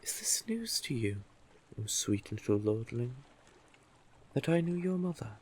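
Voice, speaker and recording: A man's voice: mid-range voice, very animated, a little slow, husky. A man voicing a monstrous but civil male sea witch in an intimate roleplay audio drama, soothing, teasing and courtly, lingering on endearments. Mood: courtly, knowing.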